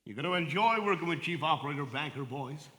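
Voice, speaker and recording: An actor playing a brisk male army officer in charge, commanding and to the point. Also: fairly high pitch, lively intonation, bright, forceful tone, long reverb tail, slight background noise.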